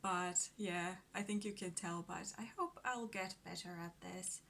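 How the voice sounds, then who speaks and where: A woman in her thirties from Finland talks into her phone camera at home, her English carrying a Finnish accent. She speaks slowly, with a bright tone, nervous laughs and plenty of fillers. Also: fairly low pitch, vocal fry. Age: 30s. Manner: nervous, giggly, hesitant with many fillers. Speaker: book vlogger. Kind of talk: casual vlog talk to camera. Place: at home in front of a bookcase.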